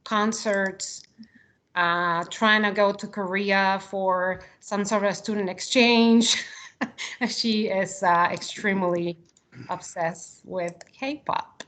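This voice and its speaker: A woman's voice: mid-range voice, fairly even intonation, slow pace, a bit bright. Chatty Argentinian woman on a video call. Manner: chatty, frequent ums, giggly. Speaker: employee presenting to coworkers. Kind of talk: casual video call presentation.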